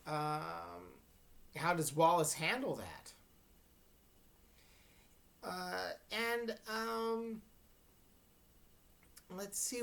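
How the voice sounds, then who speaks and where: Slow-talking, low-voiced woman teacher. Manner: unhurried, explanatory, checks understanding. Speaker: English teacher reading aloud to students. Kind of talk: informal read-aloud lesson.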